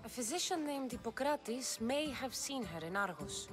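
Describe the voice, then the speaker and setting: A woman's voice: fairly high pitch, sing-song, normal speed. An actress playing a tough female mercenary of ancient Greece in a game, speaking directly and guardedly. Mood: businesslike. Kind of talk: video game cutscene acting. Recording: music under the voice.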